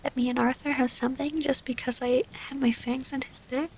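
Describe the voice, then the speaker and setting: A woman playing a loving, formal, wistful female vampire in an intimate ASMR roleplay, often breathy and close to a whisper. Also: high voice, brisk. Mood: teasing, amused. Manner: formal, old-fashioned, intimate phrasing. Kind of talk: ASMR romantic audio roleplay. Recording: steady rain ambience, faint noise.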